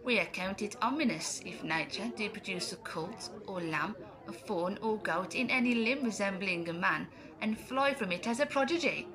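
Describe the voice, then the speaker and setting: A sardonic, morbid tavern philosopher played by a woman declaims old stage prose. Her medium-pitched voice is heard among busy crowd chatter. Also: animated, normal speed, close to an everyday voice, rough recording. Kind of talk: Jacobean stage monologue in audio drama.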